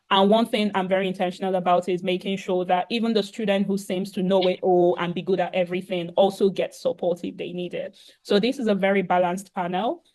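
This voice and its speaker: A woman hosting a panel discussion over a video call, relaxed and conversational with many fillers. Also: mid-range voice. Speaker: host and moderator. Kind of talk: casual panel moderation.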